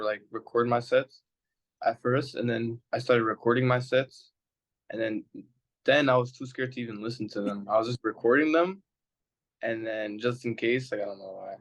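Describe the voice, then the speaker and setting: A young man hosting a comedy podcast, agreeable and quick to affirm his guest. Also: medium pitch, poor audio. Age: young adult. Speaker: podcast host. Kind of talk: casual interview chat.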